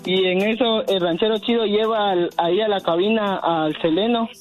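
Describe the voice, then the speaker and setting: A man's voice: high-pitched, steady tone, normal speed. Man caller, phone line, hesitant, rambling, faint music. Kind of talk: call-in phone chat.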